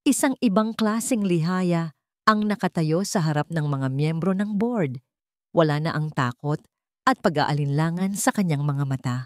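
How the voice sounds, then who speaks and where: A woman reading a scripted romance story as narrator, in measured, sentimental short phrases. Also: fairly low pitch, dry recording, studio quality.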